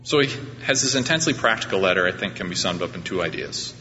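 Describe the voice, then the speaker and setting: Deep-voiced man preaching in a reverberant hall. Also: expressive, quick pace, pushed and bright. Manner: conversational, self-deprecating. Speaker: pastor preaching at a church. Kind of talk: informal sermon. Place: large reverberant church room during a service. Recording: reverberant hall, muffled, background hum.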